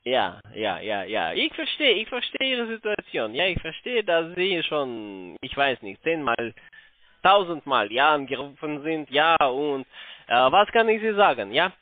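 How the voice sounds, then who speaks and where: High-pitched foreign-accented man on tinny phone. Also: very animated, no echo. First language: not German. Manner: halting, broken German, frequent tag questions. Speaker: telephone sales caller pitching investments. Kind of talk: unscripted phone sales pitch. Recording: narrow tinny phone line.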